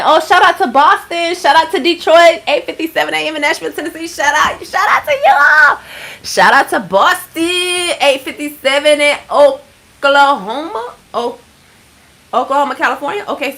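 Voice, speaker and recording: A woman in her thirties from Georgia, hosting a casual livestream and chatting with viewers. She is laid-back, swears freely and keeps giving shout-outs. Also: sits high. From Georgia, USA. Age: 30s. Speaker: livestream host.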